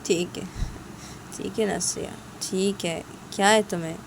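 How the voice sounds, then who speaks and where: A woman voicing a caring female partner who soothes and gently chides with repeated pleas, in an amateur audio story. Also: mid-range voice, fairly even intonation, a little slow, noisy background, natural voice. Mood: soothing. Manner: soothing, pleading, repetitive.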